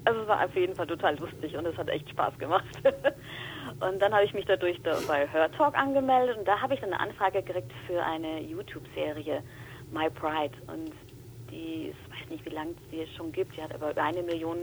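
A young woman from Franconia with a Franconian accent softened by Swabian traces, a guest voice actor chatting casually and eagerly, often laughing. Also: deep voice, fairly quick, a little hiss or hum, rough recording. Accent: Franconian German with Swabian traces. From Franconia, Germany. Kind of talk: casual chat.

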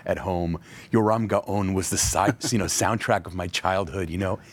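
A male rabbi speaks as a podcast guest in a low, husky voice. He talks earnestly and reflectively, working through his ideas with plain candor and occasional wry asides. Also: fairly even intonation, normal speed. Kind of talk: thoughtful interview conversation.

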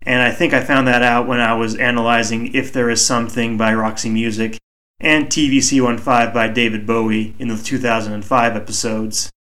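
A man hosting a solo hobby podcast, speaking in a measured, reflective way with a soothing voice, recorded on a home microphone. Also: mid-range voice, level tone, pushed and bright. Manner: measured, reflective, deliberately slow. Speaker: podcast host.

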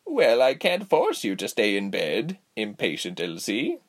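A man voicing a cheerful, giggly elderly village healer woman in a storybook, with a slow, kindly teasing delivery. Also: on the low side, huge pitch swings, full character voice. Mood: kindly, amused. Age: older. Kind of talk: storybook character voice.

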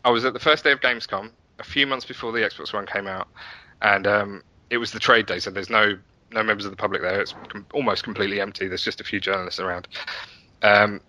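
A man's voice: fairly low pitch, fairly even intonation, fast talker, bright, forceful tone, muffled recording. A man speaking as an interview guest, chatting casually and telling stories with enthusiasm. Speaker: podcast guest, app and website developer.

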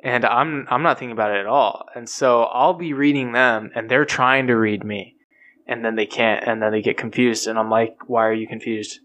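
A man's voice: medium pitch, normal speed. A man in casual chat among friends, talking in a loose, rambling way full of fillers. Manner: relaxed and rambling, full of fillers, laughs at own stories.

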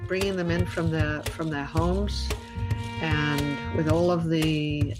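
A woman hosting a podcast interview, speaking in a gentle, earnest way. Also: low-pitched, fairly even intonation, slow, music in the background. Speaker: podcast host.

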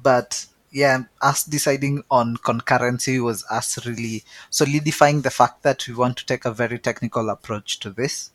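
Man, podcast co-host, easygoing chat, mid-pitched, dry close recording. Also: moderate pace, hardly any pauses, no room sound. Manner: relaxed, chatty, repeats words as he thinks. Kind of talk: casual chat.

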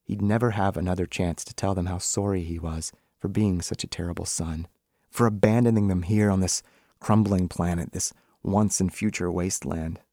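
A man reads literary fiction aloud in a low voice. The sound is dry, with very little room around it, and the delivery is that of an author reading a short story. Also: steady tone, moderate pace, mellow, dry recording.

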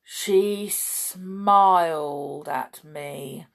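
Storybook castle guard, woman performing: male, gloomy, stern, very slow and ponderous. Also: sits low, vocal fry, heavily acted. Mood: solemn. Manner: slow, solemn, ponderous delivery.

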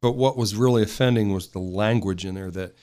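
A man's voice: fairly low pitch, fairly quick, darkish tone. A man speaking as a sheriff in an interview, emphatic and official in his wording. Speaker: county sheriff being interviewed. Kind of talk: interview response.

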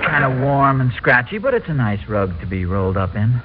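A man's voice: medium pitch, big pitch swings, a little fast, close to an everyday voice. An American man playing a mild, good-humored middle-aged suburban husband in a casual, hesitant radio sitcom style. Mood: drowsy, wry. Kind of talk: old-time radio situation comedy acting. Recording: muffled, noisy old radio recording.

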